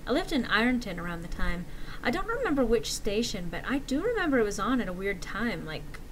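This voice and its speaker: Nostalgic forum poster, woman reading: conversational but excitable and emphatic, lifted pitch, creepy-story setting. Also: brisk, slight background noise, rough recording. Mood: excited recall. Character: excitable forum poster nostalgic about an eerie kids show. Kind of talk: dramatic reading of forum posts.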